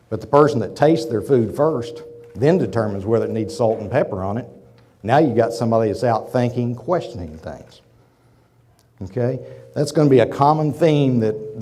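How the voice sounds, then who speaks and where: A man's voice: on the low side, mellow. A man in his fifties from Oklahoma, losing his voice to a cold, giving a rambling, conversational lecture in a university auditorium with frequent asides to the audience. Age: 50s. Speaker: guest lecturer, businessman. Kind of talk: folksy public lecture.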